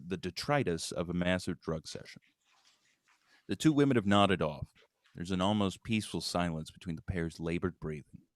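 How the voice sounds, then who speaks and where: A man's voice: deep voice, no echo, clean studio sound. A man hosting an informal live script read, talking casually and narrating stage directions. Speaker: host, writer and stage-direction reader of a live table read.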